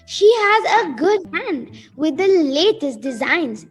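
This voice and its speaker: A woman's voice: high voice, very animated, takes it slowly, faint music behind, full character voice. A woman acting a chatty, gushing, oblivious mother in an amateur radio play, rambling and exclaiming.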